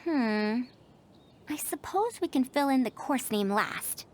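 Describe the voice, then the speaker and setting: Game role: self-assured academic woman, high and animated, formal old-fashioned wording. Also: slow pace. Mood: pondering. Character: confident, headstrong, scholarly young-looking female academic. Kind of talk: voiced game character dialogue.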